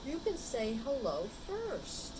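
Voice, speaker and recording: A woman voicing a kind male dog owner in a children's storybook, gently coaxing and reassuring his pet. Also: on the high side, wildly swooping pitch, slow, heavy background noise, full character voice. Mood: encouraging.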